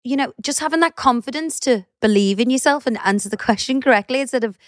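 Scouse woman co-host, middle-aged, lively storyteller, higher voice, dry close mic. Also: quick pace, dry recording. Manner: chatty, animated storyteller who swears casually. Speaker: podcast co-host. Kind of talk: casual chat.

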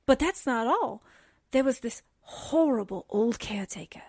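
A woman playing an excitable, slangy young newspaper assistant in a breezy, dramatic 1940s radio drama style. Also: a little high, moderate pace, husky, no echo, clean studio sound. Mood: thrilled, spooked.

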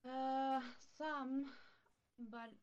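A woman's voice: high-pitched, fairly even intonation, drawn-out words, clean tone, highs cut off. A woman co-hosting a podcast in casual chat, giving brief, agreeable replies. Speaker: podcast co-host.

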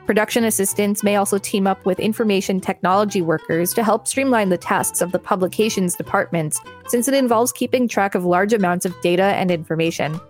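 A woman's voice: mid-range voice, fairly quick, hardly any pauses, faint music behind. A woman co-hosting a podcast, reading an encyclopedia article aloud with some casual banter. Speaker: podcast co-host.

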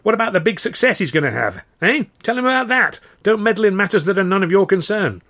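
A man's voice: medium pitch, very animated, quick pace, a bit bright, voice pushed up. A man voicing an indignant, protective working-class pal in a storybook, with a British accent and an emphatic, scolding manner.

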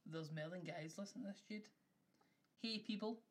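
A young man co-hosting a podcast in rapid, teasing banter full of jokes. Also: high voice. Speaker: podcast co-host. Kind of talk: casual chat.

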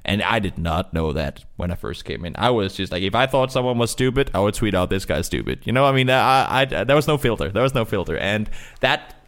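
A man who is not a native English speaker, talking casually and in a rambling, animated way as a guest caster in an interview. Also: fairly low pitch, a little fast, hardly any pauses. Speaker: esports caster being interviewed.

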